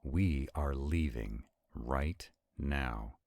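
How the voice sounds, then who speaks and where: An arrogant male vampire acted by a man speaks in an extremely low, husky voice. He takes his time over every phrase, haughty and commanding, protective yet skeptical, as in a supernatural audio roleplay. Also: extremely slow, darkish tone, exaggerated voice. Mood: tense, reluctant. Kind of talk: roleplay audio drama acting.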